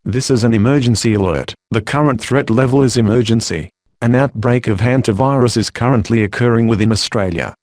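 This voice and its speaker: A man with a mid-pitched voice reads an official emergency alert. His delivery is formal and measured, like a scripted public warning. The sound is dry and close, with no room echo. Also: darkish tone. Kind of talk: scripted emergency broadcast announcement.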